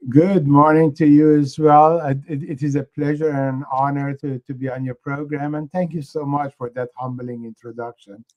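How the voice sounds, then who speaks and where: A man in his sixties with an Iranian accent, answering interview questions in a measured, hesitant way as a guest. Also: a little high, little pitch movement, a little mellow, dull audio. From Iran. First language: Persian. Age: 60s. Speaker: guest, engineering professor and activist.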